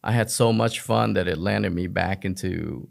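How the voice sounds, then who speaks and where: A man's voice: deep voice, moderate pace, gravelly. A man telling personal stories casually and informally, with self-deprecating rhetorical questions. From United States, raised in Oklahoma and elsewhere, Vietnamese family. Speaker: podcast guest, physician. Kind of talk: casual personal storytelling in a conversation.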